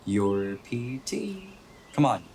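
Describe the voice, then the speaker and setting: A man's voice: medium pitch, big pitch swings, slow, gravelly, darkish tone, a little hiss or hum, exaggerated voice. An American man playing a blunt, stubborn young friend in a radio play, casual, wry and needling, with some profanity. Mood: coaxing. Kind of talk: naturalistic radio play acting.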